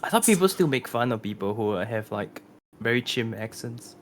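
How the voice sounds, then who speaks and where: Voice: medium pitch, sing-song, moderate pace, soft background music. A co-host in a casual chat who giggles often and keeps asking questions. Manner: giggly, asks many questions.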